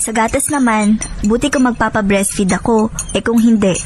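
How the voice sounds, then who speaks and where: An actress playing a frank, plaintive young Filipino wife in a radio drama, in a colloquial, expressive way. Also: female voice, on the high side, moderate pace, soft background music, natural voice. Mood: practical, concerned.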